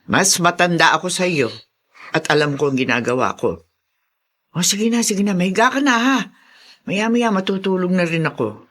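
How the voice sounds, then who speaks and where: Old filipino lola in a radio drama, sharp voice, scolds and brushes you off, pitch all over. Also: female voice, animated, moderate pace, pauses often. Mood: dismissive, weary. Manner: scolding, dismissive, matter-of-fact. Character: stubborn, no-nonsense old grandmother who sews at night.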